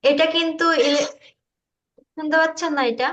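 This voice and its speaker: A woman's voice: high voice, fairly even intonation, normal speed, a bit bright. A woman giving an informal screen-share tutorial, hesitant and checking that her listeners follow. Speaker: tutor demonstrating video animation software. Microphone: online meeting call. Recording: dull lo-fi online call audio.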